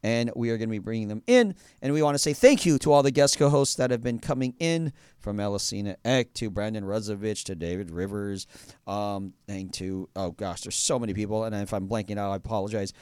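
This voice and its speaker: A man's voice: fairly low pitch, fairly quick, darkish tone, smooth, no echo. A man hosting a radio show in a studio, chatting casually, full of fillers and restarts. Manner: rambling, many fillers and restarts.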